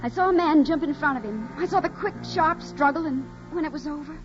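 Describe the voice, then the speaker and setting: A woman's voice: high voice, brisk, close to an everyday voice. An actress playing a naive, earnest young farm woman, hesitant and adoring, in a 1940s radio drama. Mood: wistful narration. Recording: muffled 1940s radio recording with music.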